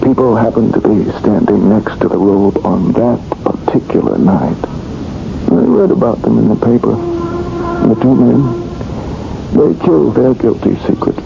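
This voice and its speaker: Ominous, slow-spoken, creaky-voiced man. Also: medium pitch, sing-song, exaggerated voice. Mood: cool, knowing. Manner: halting, portentous storytelling. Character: ominous, knowing man who confesses to killing his secret. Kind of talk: 1970s radio drama acting. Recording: muffled 1970s radio broadcast with music, noise.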